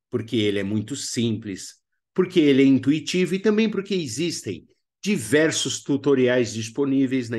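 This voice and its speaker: A man's voice: mid-range voice, darkish tone. A man with a Brazilian accent presenting an instructional lesson as a workshop teacher, didactic and emphatic with informal asides. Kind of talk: instructional video lesson narration.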